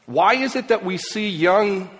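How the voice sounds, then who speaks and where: An emphatic man who grew up in Mexico and Ecuador addresses a live audience. His voice sits a little higher than most men's and swings from forceful to hushed. A long hall reverb trails each phrase. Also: animated, measured pace. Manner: impassioned, emphatic, swings between loud and quiet. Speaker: speaker. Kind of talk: speech to an audience.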